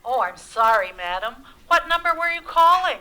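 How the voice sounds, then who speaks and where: An actress playing a polite, unruffled telephone operator in an old-time radio play, formal and by the book. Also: female voice, high-pitched, very animated, moderate pace, sharp tone, exaggerated voice, poor audio. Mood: politely puzzled. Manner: formal, procedural, patient.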